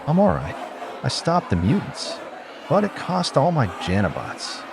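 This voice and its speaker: A man playing a weary fighter in a radio play, with a creaky voice on a noisy recording. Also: medium pitch, wildly swooping pitch, measured pace, heavily acted.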